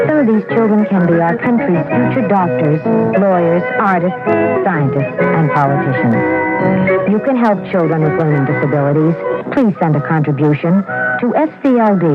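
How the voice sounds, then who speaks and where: Female announcer, scripted charity appeal, animated delivery, narrowband vintage radio with prominent music. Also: fairly low pitch. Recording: tinny old radio, loud music behind.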